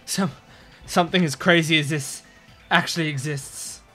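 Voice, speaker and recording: A woman's voice: low voice, normal speed, a bit bright, faint music behind. A woman streamer reading a video game's text aloud in a subdued way, with casual asides. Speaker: let's-play streamer.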